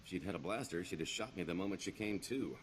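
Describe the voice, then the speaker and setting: An actor playing a roguish, glib smuggler and con artist in a video game, with a wry, theatrical delivery. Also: male voice, sits low, quick pace, a little hiss or hum, lightly acted. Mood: bitter, wistful. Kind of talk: video game character dialogue.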